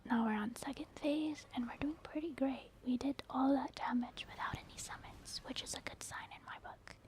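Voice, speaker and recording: A woman whispering breathy, casual ASMR commentary as she explains her gameplay. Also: high-pitched, steady tone, moderate pace. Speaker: ASMR game streamer. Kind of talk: soft-spoken ASMR game commentary.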